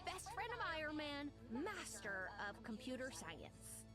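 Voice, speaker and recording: A woman's voice: high voice, sing-song, measured pace. A woman voicing a perky, boastful young superheroine in a video game, bouncy and theatrical. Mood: proudly boastful. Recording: game audio with loud music.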